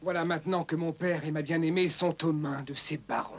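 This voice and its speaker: An actor playing a brave, earnest young Saxon knight with a dramatic delivery in a French cartoon dub. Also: male voice, on the high side, steady tone, brisk. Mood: determined.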